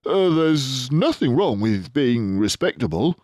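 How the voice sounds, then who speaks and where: A man voicing a gentle, anxious, respectable badger, speaking in a hesitant, bumbling way for a children's storybook. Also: mid-range voice, sing-song, measured pace, dry recording, pitched above the performer's own voice. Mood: earnest.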